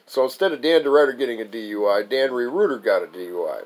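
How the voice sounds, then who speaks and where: A man's voice: medium pitch, expressive, fast talker, rough recording. A man reading his own memoir aloud as a wry, amused storyteller. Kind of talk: memoir recitation, narrated reading.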